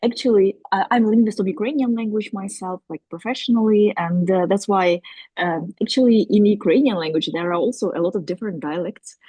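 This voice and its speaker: A woman whose first language is Crimean Tatar, speaking up from the audience at a discussion, hesitant and pausing often with fillers as she searches for words. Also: mid-range voice, warm tone, husky, no echo, poor audio. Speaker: audience member asking a question. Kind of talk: spontaneous discussion remarks.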